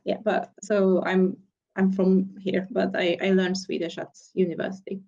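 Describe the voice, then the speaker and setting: A woman with a Polish accent introducing herself hesitantly on a video call, with many pauses. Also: medium pitch, level tone, creaky, mellow, muffled recording. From Poland. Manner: hesitant, many fillers and restarts. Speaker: class participant.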